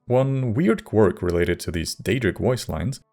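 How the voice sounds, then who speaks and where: Male host, scripted video essay, lowish voice, measured, wry, faint music behind. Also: expressive, creaky, darkish tone. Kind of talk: scripted narration.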